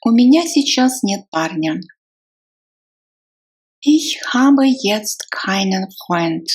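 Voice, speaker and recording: A woman teaching phrases in a slow, repetitive drill, saying each phrase several times with a translation. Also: medium pitch, expressive, husky. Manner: repeats each phrase slowly, then gives the translation. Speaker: language teacher.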